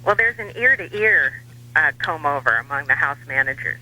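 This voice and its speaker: An American man giving political commentary as a radio guest, wry and dryly humorous, with measured hesitations. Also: a little high, animated, sharp tone, low-quality recording. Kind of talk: talk radio interview.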